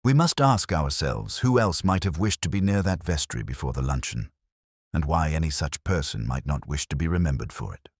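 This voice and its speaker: A man performs a thoughtful police inspector from an audio mystery. He is very deep and formal, with plenty of rise and fall as he delivers dry, mild understatement. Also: big pitch swings, slightly rough, no room sound, heavily acted. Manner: measured, formal, dryly understated.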